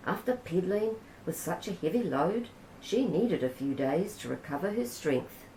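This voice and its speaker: A woman with a New Zealand accent reads a children's story aloud, gentle and unhurried. Her voice sits low with a gravelly edge, and the room gives it a noticeable echo. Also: lively intonation, slight background noise. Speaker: storybook reader. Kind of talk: reading a children's picture book aloud. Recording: roomy echo.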